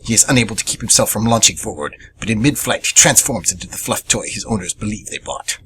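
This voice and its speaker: A man narrates a scripted story in a low, bright voice. He reads at a quick clip for a radio theatre piece. Some background noise sits under the recording. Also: animated.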